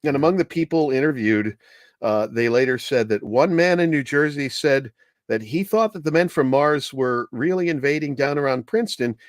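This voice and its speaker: A man giving an informal, explanatory history talk with anecdotes, speaking in a measured, hesitant way as a commentator. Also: a little high, no room sound, clean studio sound.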